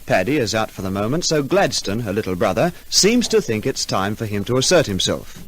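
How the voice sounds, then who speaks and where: A man's voice: on the low side, sing-song, brisk. An Australian man as a wry announcer, reading scripted narration for an old-time radio comedy. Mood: wry, measured. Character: wry male narrator introducing a household comedy. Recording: noisy old radio recording.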